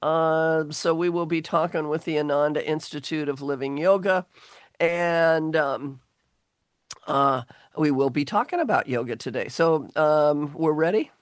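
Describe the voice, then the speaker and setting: A woman's voice: low voice, measured pace, no room sound, studio quality. A woman hosting a casual radio chat show, talking in a chatty, rambling way with many ums, her voice worn down by allergies. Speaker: radio host.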